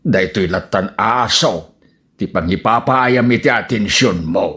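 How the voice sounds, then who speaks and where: A man's voice: lowish voice, measured pace, a bit bright. A man playing a jealous, whiny husband in an Ilocano radio comedy drama, with an Ilocano accent. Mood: sulky.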